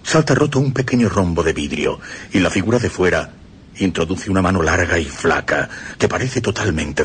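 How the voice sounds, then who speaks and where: A man narrating a horror tale for radio drama in an expressive way, swelling on the frightening passages. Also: low voice, a little hiss or hum.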